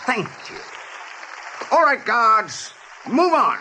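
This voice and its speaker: An actor in a radio play playing a proud, obedient rabbit guard captain with a clipped, officious manner. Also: male voice, very high voice, sing-song, slow, a crowd in the background, full character voice. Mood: haughty, indignant. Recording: faint background noise in radio play.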